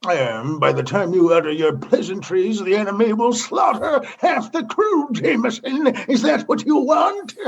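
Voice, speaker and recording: An actor playing a brusque, demanding, paranoid commodore in a comedy radio play, imperious and scolding. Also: male voice, high voice, animated, unhurried, gravelly, slightly rough, dull audio, heavily acted.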